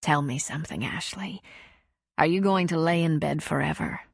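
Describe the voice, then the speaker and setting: A woman voicing a calm, patient counselor who asks gentle, probing questions. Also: sits low, normal speed, creaky, slightly rough, dry recording, studio quality, exaggerated voice.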